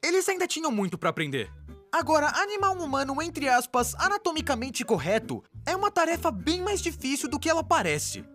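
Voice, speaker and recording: A woman with a Brazilian accent voicing an excitable, wry cartoonist narrator in a dubbed comic explainer, informal and exaggerated in delivery. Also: sits high, brisk, faint music behind. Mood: wry, enthusiastic. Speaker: narrator of an animated explainer video.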